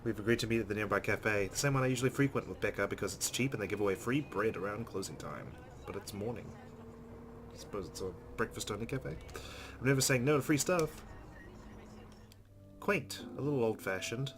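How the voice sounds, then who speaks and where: A man reading visual novel narration aloud as a let's play streamer, with casual asides. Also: fairly low pitch.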